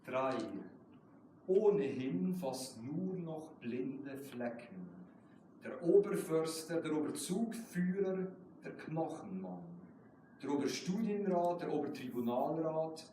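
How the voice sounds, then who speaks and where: A man's voice: a little high, expressive, slow pace, warm tone. Very echoey, distant man presenting poetry. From Switzerland. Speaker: guest poet presenting his work. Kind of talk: live poetry reading with informal remarks. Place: live event in a reverberant room. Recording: very echoey hall, far mic, noisy.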